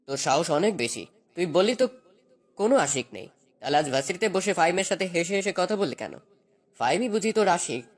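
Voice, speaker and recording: A man's voice: sits high, sing-song, brisk. A man playing a possessive, domineering young husband in a dramatized romantic story, speaking in a commanding, insistent way. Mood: menacing, jealous.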